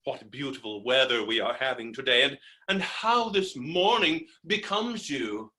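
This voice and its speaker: A man's voice: medium pitch, expressive, measured pace, pushed and bright. A man playing a blustering, boorish, melodramatic fellow who rails against women in a theatrical, mocking way, in a stage comedy reading.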